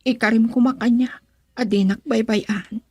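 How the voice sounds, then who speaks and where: A woman's voice: a little high, fairly even intonation, a little slow, mellow, husky, no echo. A woman acting a devoted, defiant young daughter in love in a radio drama, emotional and pleading, with a Filipino accent. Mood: worried, tender.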